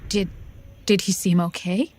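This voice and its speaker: An actress playing a gentle, troubled female counselor in a video game, soft, hesitant and earnest. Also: on the low side, steady tone, a little slow, natural voice. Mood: concerned, hesitant. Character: gentle, troubled female counselor, anxious about former lover. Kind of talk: video game cutscene acting.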